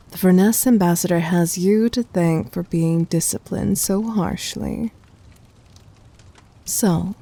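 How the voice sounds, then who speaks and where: A woman voicing a commanding, possessive yet tender demon queen in an ASMR roleplay, soft, husky and often near a whisper, with imperious, teasing delivery. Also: mid-range voice. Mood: pouting, reproachful.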